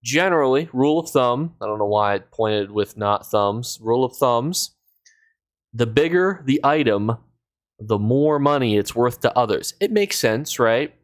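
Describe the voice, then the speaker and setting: Hoarse-voiced man streaming a game. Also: medium pitch, animated, measured pace. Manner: rambling, thinking aloud, reads chat. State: losing his voice, sick. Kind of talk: casual live-stream chat while gaming.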